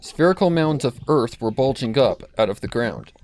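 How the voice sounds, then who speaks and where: Male narrator, mid-pitched, scripted story reading, fan fiction audiobook, faint noise. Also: expressive, moderate pace, a little mellow.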